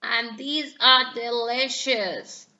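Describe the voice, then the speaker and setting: Woman voicing a hearty, slow-talking daddy pig. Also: on the high side, steady tone, pushed and bright, muffled recording, exaggerated voice. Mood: cheerful, confident. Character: easygoing adult father pig, cheerfully self-assured. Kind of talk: children's picture book character voice.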